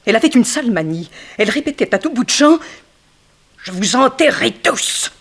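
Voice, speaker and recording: An actress playing a bitter, sharp-tongued former ballerina in a 1960s French radio detective play, dramatic and insinuating. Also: female voice, on the high side, sing-song, breathy edge, exaggerated voice. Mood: sardonic, gossiping. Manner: dramatic, insinuating, swinging from slow emphasis to outbursts.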